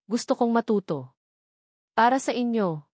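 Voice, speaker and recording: A woman's voice: fairly high pitch, animated, normal speed, a little mellow, no room sound, clean studio sound. A woman with a Filipino accent voicing a remorseful, devoted wealthy man in a romance story, speaking earnestly and pleadingly.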